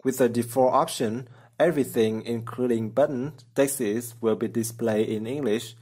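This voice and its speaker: A man's voice: mid-range voice, fairly even intonation, moderate pace, darkish tone, breathy edge. Man narrating a coding tutorial plainly. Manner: plain step-by-step explaining, simple non-native phrasing. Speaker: programming tutorial channel host. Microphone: voice-over over screen recording.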